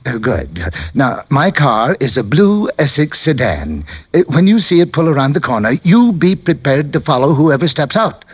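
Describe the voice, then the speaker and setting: Timid, earnest client for vintage radio mystery: hesitant, fussy, fairly high voice, dull aged recording. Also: male voice, very animated, moderate pace, few pauses. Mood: fussy, pleased. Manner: hesitant, stammering, polite throat-clearing. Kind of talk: old-time radio drama acting.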